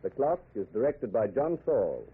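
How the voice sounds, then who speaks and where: A man's voice: medium pitch, sing-song, normal speed, background noise. A man announcing scripted closing credits as an old-time radio announcer. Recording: muffled old radio broadcast recording.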